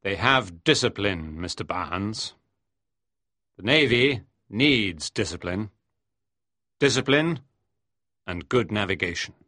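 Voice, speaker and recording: A woman voicing a stern, steady male ship captain in a storybook reading, speaking firmly. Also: rumbling low, slow, long pauses, no room sound, studio quality, voice pushed down, full character voice. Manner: firm, commanding.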